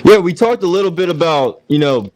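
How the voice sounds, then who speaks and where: A man's voice: fairly high pitch, animated, brisk, no echo. A man co-hosting a podcast, asking long rambling questions and mixing in Spanish words. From Puerto Rican heritage. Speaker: podcast co-host. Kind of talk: casual interview questions.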